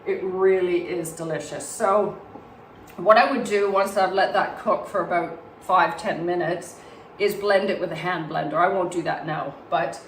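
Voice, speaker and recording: A woman with a slight cold and a hoarse voice gives a calm cooking demonstration in her home kitchen, explaining each step and why it is healthy. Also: on the low side, normal speed. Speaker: cooking presenter. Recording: roomy, distant mic, faint background hum.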